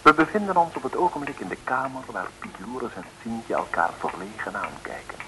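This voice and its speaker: A man's voice: mid-range voice, sing-song, brisk. A man narrating a 1960s radio serial in a dry, ironic, dramatic way. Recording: old radio recording, faint noise.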